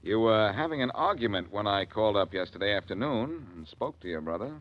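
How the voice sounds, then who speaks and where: An actor playing a smooth, confident wisecracking detective in a 1940s radio drama. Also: male voice, fairly low pitch, lively intonation, fairly quick, natural voice. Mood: cool, taunting. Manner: dry, wisecracking. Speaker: lead detective. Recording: dull 1940s radio recording, faint hiss.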